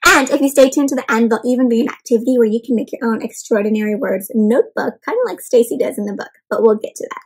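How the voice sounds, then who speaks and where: A young woman hosting an interactive read-aloud of a picture book for children, talking to them warmly as "my friends" and asking them questions. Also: a little high, quick pace, few pauses, breathy edge, poor audio. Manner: animated, addresses kids as "my friends". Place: home room with bookshelf and plants.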